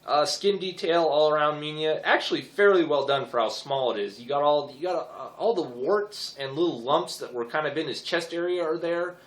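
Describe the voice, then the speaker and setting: Young male collector, hoarse, rambling delivery, echoey room. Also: a little high, moderate pace, few pauses. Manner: rambling, many fillers like uh and you know. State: hoarse, losing his voice. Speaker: toy collector reviewing figures. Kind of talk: casual review vlog.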